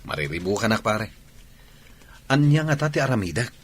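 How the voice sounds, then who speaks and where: A man playing a scheming, smitten insurance manager in a radio drama, with animated delivery. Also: on the low side, brisk.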